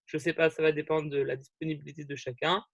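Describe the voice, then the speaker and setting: A man's voice: on the high side, flat delivery, quick pace, creaky, a bit bright, dry recording, dull audio. A young man hosting an online group meeting, talking in a friendly, rambling way with many fillers and restarts over a video call. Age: young adult.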